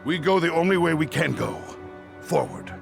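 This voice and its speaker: Gruff dwarf leader, gravelly and animated, brisk orders, game cutscene. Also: male voice, fairly high pitch. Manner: brisk, commanding. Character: gruff commanding male dwarf warrior leader. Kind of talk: video game cutscene acting. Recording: loud music behind voice.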